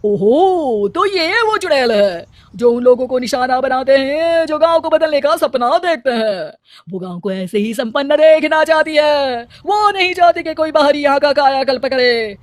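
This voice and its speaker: A woman's voice: high voice, lively intonation, unhurried, no echo, voice pushed up. A woman voicing a domineering, scheming male village headman in a cartoon story, bossy and scolding. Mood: ominous.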